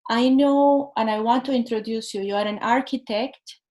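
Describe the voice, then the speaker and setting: Higher-voiced woman host asking questions. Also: normal speed, a little mellow. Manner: hesitant, careful phrasing with fillers. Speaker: interviewer, host. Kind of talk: interview questions.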